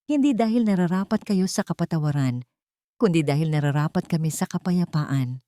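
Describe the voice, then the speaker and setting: A woman voicing a gentle, forgiving young woman in an audiobook, soft-spoken and earnest. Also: deep voice, lively intonation, fairly quick, no room sound, studio quality. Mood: calm forgiveness.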